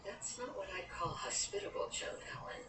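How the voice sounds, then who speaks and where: A woman playing a kindly, practical middle-aged small-town aunt, gentle and mildly reproving, in a radio drama. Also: mid-range voice, normal speed, roomy sound, distant mic, noisy background, natural voice. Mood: tactful, reproving.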